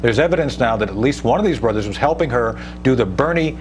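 A man's voice: mid-range voice, animated, fast talker. American man explaining emphatically over a hum. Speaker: guest commentator, retired army officer. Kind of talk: live TV news interview commentary. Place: TV news studio. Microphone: lapel mic.

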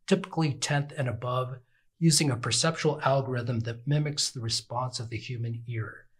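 An older man with a slightly husky voice gives scripted instructional narration in an orderly, explanatory manner. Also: medium pitch, fairly bright tone. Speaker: product demonstrator.

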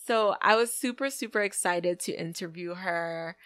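A woman's voice: lowish voice, normal speed, fairly bright tone, no echo. A woman hosting a podcast, introducing her guest in an enthusiastic, gushing way. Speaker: podcast host. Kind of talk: casual podcast intro and interview.

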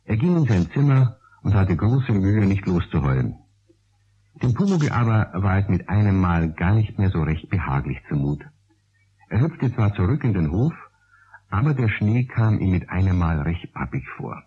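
A man's voice: deep voice, big pitch swings, normal speed, slightly rough. A man, narrating a radio play as storyteller. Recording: dull old radio play recording.

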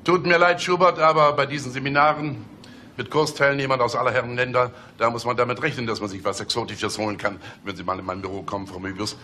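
A man's voice: medium pitch, fairly even intonation, quick pace, sharp tone, a little hiss or hum, lightly acted. A man acting in a German radio play as a smug, teasing middle-aged police chief, condescending and mock-solemn. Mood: mock concern.

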